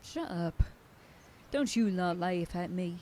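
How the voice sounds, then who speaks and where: A woman's voice: lowish voice, lively intonation, takes it slowly, mellow, slight background noise. Woman playing a stern werewolf sheriff. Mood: flustered. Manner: stern, commanding, lectures a group. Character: bossy female werewolf sheriff leading her pack. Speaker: voice actor. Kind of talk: ASMR roleplay audio.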